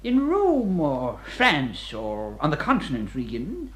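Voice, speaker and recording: An actor playing an educated, self-important senior prison official in a radio play, with a fussy, superior manner. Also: male voice, on the high side, huge pitch swings, slow, fairly bright tone, heavily acted. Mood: condescending.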